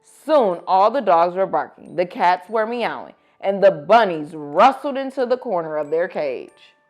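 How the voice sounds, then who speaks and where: A woman reading picture books aloud to children as host, with expressive, dramatic emphasis. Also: fairly low pitch, a little slow, soft background music. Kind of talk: animated storybook read-aloud for children.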